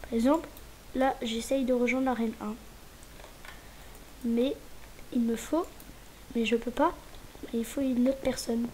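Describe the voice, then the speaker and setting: Woman with a bit of a cold showing a game server, kinda high voice, lots of um and restarts, whispers to herself. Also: steady tone, measured pace, long pauses, a little mellow, slight background noise, poor audio. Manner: hesitant, restarting sentences, muttering whispered asides. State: a little stuffy from a cold. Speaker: gaming channel host. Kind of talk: casual gameplay tour.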